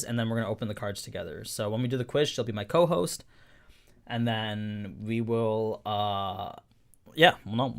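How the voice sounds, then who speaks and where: A young man streaming live, chatting casually with a slightly hoarse voice, rambling with fillers and restarts while he reads the chat. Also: mid-range voice.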